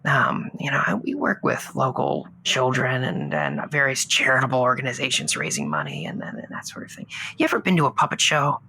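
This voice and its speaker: A woman playing a lovestruck, rambling caller on a comedy radio advice show, hesitant and earnest, with a husky voice. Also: very deep voice, big pitch swings, brisk, hardly any pauses, bright, forceful tone, slight background noise, heavily acted, low-quality recording. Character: lovestruck, rambling radio call-in guest, newly divorced puppeteer. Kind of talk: improvised comedy call-in, conversational.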